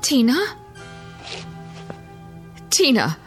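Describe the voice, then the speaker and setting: A frantic mother who cannot believe what is happening, in a radio drama. Her high voice rises and falls widely over faint music. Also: very animated, extremely slow, full character voice. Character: frantic, disbelieving mother searching for her little girl.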